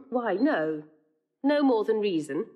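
A woman's voice: mid-range voice, big pitch swings, slow pace, muffled recording. Proud witty young lady in old comedy play, mid voice, dry and teasing. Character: sharp-witted, proud young woman sparring with her suitor. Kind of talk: classical stage comedy acting for audio.

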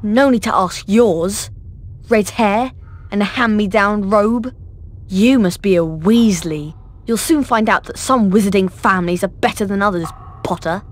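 A woman's voice: medium pitch, a little slow, close to an everyday voice. Woman doing posh sneery english schoolboy bully, mocking drawl, wizard video game. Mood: sneering.

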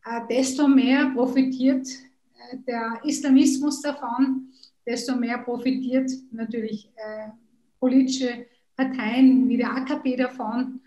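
High-voiced woman with many hesitations, roomy echo. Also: fairly even intonation, unhurried, warm tone. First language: Kurdish. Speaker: politician, interview guest. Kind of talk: video call interview. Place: room at home. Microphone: video call.

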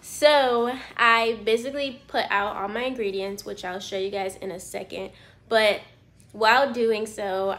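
A woman in her twenties from California, chatting casually through her day in a vlog, rambling with lots of fillers, filmed on a phone at arm's length in a small apartment. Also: mid-range voice. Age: 20s. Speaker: lifestyle vlogger. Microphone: phone camera held at arm's length.

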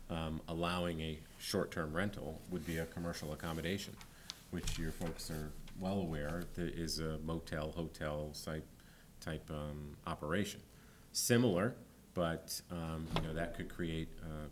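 A man in his forties, a town planning official, answering a board's questions at a public meeting, often pausing with ums and restarting sentences. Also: rumbling low, background noise. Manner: hesitant, many ums and restarts. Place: town hall meeting room. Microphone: desk microphone.

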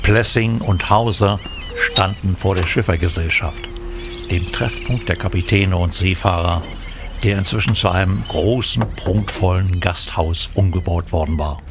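A man's voice: low voice, a little slow. A man reading scripted narration for an audio drama. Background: string music.

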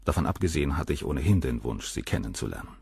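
Proud surgeon, deep husky voice, composed, condescending, articulate, crime radio play. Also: male voice, very animated, quick pace, a little mellow, dry recording, studio quality, full character voice. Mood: cool, condescending. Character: proud, coldly condescending married male professor and surgeon. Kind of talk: German radio crime drama acting.

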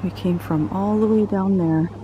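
A woman's voice: mid-range voice, fairly even intonation, normal speed, highs cut off, noisy background. A woman in her thirties narrating her hike for viewers in a casual vlog, breathing hard and sighing as she climbs an outdoor trail. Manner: breathy, sighing, tired while hiking uphill. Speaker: vlogger. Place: outdoor coastal hiking trail. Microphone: handheld camera, held by the vlogger.

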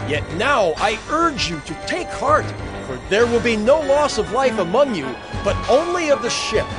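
An urgent, steadfast male apostle in an audio Bible drama. He declaims earnestly in a high-pitched voice that rises and falls widely. Music plays prominently beneath him. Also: very animated, moderate pace. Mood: rousing encouragement. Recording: voice over prominent music score.

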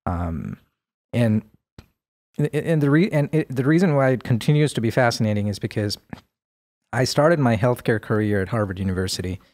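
Measured Indian-born man, dry studio sound. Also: medium pitch, animated, darkish tone, no echo. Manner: thoughtful, measured, with many fillers. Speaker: guest. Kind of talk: podcast interview. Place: studio room with plants. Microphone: boom mic with headphones.

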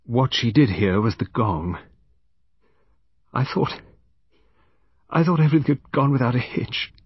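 A man's voice: mid-range voice, expressive, measured pace, no room sound, highs cut off, pitched above the performer's own voice. A man voicing a prim middle-aged woman who confesses to murder, precise and emphatic, in an audiobook. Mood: earnest confessing. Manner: clear, prim, emphatic diction.

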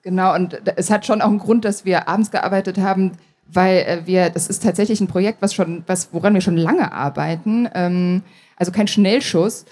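A woman's voice: lowish voice, normal speed, darkish tone. A woman in her thirties chatting casually as a guest in a live interview, often interrupting herself. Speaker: podcast author being interviewed. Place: small stage at a book fair. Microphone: handheld microphone.

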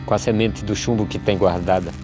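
A man playing a solemn, weary drought migrant, reciting measured verse in an animated film. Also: lowish voice, close to an everyday voice. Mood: solemn, questioning. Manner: measured, solemn, rhythmic delivery with falling refrains. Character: solemn male drought migrant, questioning, weary, plain-spoken. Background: music.

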